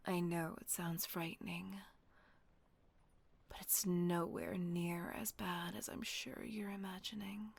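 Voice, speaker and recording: A woman voicing a gentle, protective female vampire caretaker in a whispered audio roleplay, soothing and coaxing. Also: on the low side, level tone, takes it slowly, full character voice. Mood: tender whisper. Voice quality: soft breathy whisper.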